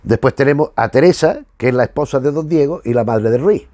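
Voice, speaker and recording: A man's voice: mid-range voice, a little fast, mellow, no echo, studio quality. A middle-aged man with an accent from Spain, giving informal, rambling commentary as a host, often correcting and repeating himself for emphasis. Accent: Spanish from Spain. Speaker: host of a retro television review show.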